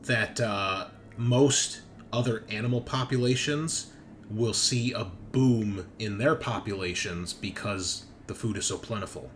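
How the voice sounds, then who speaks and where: Male game master, casual and bantering with salty language; clear background noise. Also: fairly low pitch. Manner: profane, bantering. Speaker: game master and host of tabletop RPG show. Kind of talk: casual chat and session recap.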